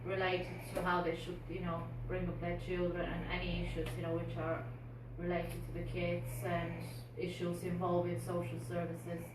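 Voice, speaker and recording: A woman's voice: lowish voice, monotone, normal speed, few pauses. A woman whose first language is Bulgarian, a police community support officer talking informally to a community group, with many fillers and restarts. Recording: echoey room, distant mic, noisy.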